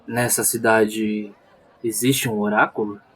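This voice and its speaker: A man playing a serene, caring adventurer in a tabletop game, with measured, formal speech. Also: fairly low pitch, little pitch movement, fairly bright tone, slightly rough, full character voice. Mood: calm, curious. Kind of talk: tabletop roleplay acting. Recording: music playing behind.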